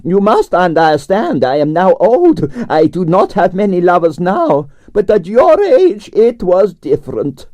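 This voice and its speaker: A man voicing a flamboyant aging Russian ballerina with a Russian accent and broken English, in a high, swooping, theatrical voice for an audiobook. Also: huge pitch swings, unhurried, few pauses, darkish tone, no echo, full character voice. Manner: broken English, grand declarations.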